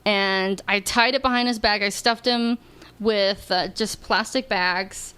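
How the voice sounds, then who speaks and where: A woman's voice: mid-range voice, steady tone, a little slow, sharp tone, clear, resonant tone. A woman telling a casual story on a comedy podcast, hesitant and full of small fillers. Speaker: podcast guest.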